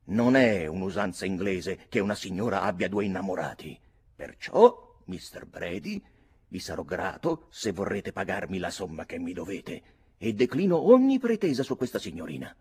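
A big, disdainful English officer from a storybook, voiced by a male reader. His speech is sarcastic and ceremonious, with a husky edge and lively swings in pitch. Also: moderate pace, full character voice. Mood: exasperated. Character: big, haughty, sarcastic English army captain.

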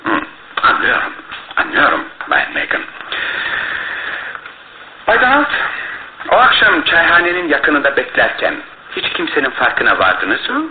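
A man's voice: a little high, wildly swooping pitch, measured pace, pauses often. An actor playing a courteous, shrewd detective in Turkish radio theatre, hesitating with small interjections as he asks polite, probing questions. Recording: muffled phone-like noisy old radio, roomy echo.

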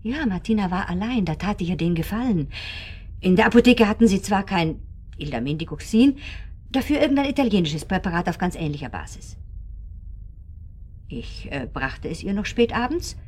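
A woman's voice: medium pitch, expressive, moderate pace, a little mellow, breathy edge. A woman acting in a radio drama as a haughty, scornful wife under accusation, speaking in formal, condescending phrases. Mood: coolly defiant.

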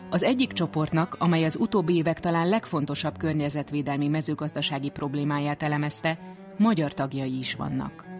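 A man's voice: fairly high pitch, gravelly, soft background music. A man presenting a science programme in scripted narration.

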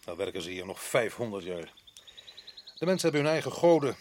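An actor playing a sardonic, outspoken atheist colonial official in a radio play, mocking and argumentative. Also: male voice, lowish voice, sing-song, fairly quick, darkish tone, slight background noise. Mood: dismissive.

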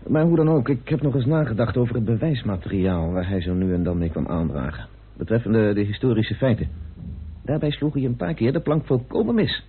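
An actor playing a troubled, stubborn amateur detective with an earnest, brooding manner in an old Dutch radio drama. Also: male voice, medium pitch, lively intonation, fairly quick, natural voice. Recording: noisy muffled tinny old radio recording.

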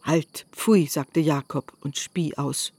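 Slow, mellow woman narrator, close and dry. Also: low-pitched, breathy edge, dry recording. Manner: measured, formal. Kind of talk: scripted literary narration.

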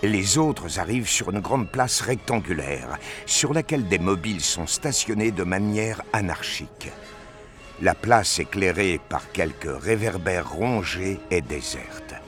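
Very deep-voiced male narrator, expressive pitch. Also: unhurried, faint music behind. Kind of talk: scripted narration of a science fiction audio drama.

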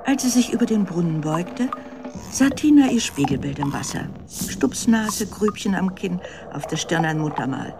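A woman's voice: lowish voice, expressive, normal speed, music in the background. A woman narrating a children's radio drama as a scripted storyteller.

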